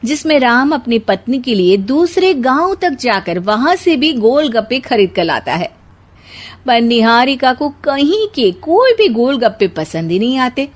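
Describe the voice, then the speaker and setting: A woman's voice: fairly high pitch, very animated, unhurried. A woman narrator reading a scripted cartoon story.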